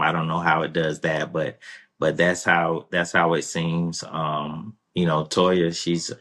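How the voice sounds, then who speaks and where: A man answering interview questions in a relaxed, rambling way, full of fillers and restarts. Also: low-pitched. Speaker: guest.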